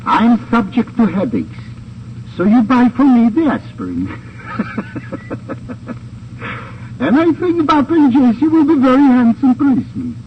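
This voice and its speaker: An actor playing an imperious, scheming German gang boss in a 1950s radio crime drama, with stiff, condescending, commanding phrasing. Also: male voice, extremely high pitch, huge pitch swings, slow, long pauses, heavily acted. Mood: smug scheming. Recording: muffled, noisy old radio recording, distant.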